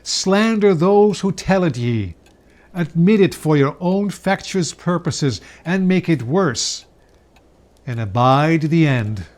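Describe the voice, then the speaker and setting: A man's voice: a little high, expressive, slow pace, a little mellow. A man voicing a grave, aging ghost in a dramatic reading of a Victorian tale, declaiming with stern command. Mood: angry, accusing.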